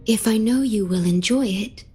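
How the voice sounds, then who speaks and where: A woman voicing a gentle, composed young female companion in a video game, soft-spoken, polite and measured. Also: fairly high pitch, slightly rough. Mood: gentle, calm. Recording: game music behind the voice.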